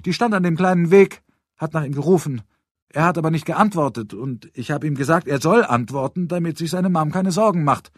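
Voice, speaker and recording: A little girl voiced by a man, high in pitch and childlike. She chatters on without being fazed, stating things matter-of-factly in clean, dry audiobook sound. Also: brisk, dry recording, natural voice. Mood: eager, chatty. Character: chatty, unfazed little girl with a teddy bear. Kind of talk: audiobook character voice.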